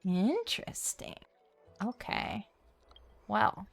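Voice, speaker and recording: A woman hosting a game livestream, chatting casually in an animated, playful, rambling way. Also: mid-range voice, extremely slow, creaky. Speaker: game streamer and host. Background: background music.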